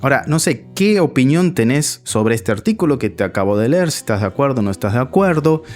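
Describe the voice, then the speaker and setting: Argentine male friar, chatty commentary straight to camera, animated delivery, faint music under. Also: medium pitch, quick pace. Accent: Argentine Spanish. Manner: conversational, addresses viewer directly. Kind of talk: casual video commentary.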